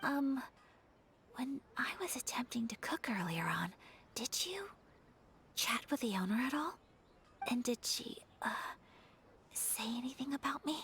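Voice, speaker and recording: A young noblewoman from an anime game, shy, earnest and very courteous. The voice is high and gentle, with formal phrasing. A faint noise runs under the recording. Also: animated, a little slow, long pauses. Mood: shy, downcast.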